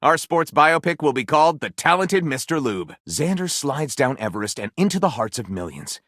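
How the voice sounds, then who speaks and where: A video game's pompous, excitable writer of lurid detective fiction. His voice sits a little high and swings widely in pitch, theatrical and sardonic. It sounds sharp and bright. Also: moderate pace, no room sound, clean studio sound. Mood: grandly pronouncing. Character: excitable, pompous male author of trashy erotic detective novels. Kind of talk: video game character voice acting.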